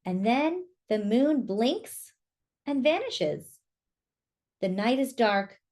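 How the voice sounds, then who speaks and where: Slow, very animated woman reading aloud. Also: a little high. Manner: asks young listeners questions and comments on pictures. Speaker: storyteller. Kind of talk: children's picture book read-aloud.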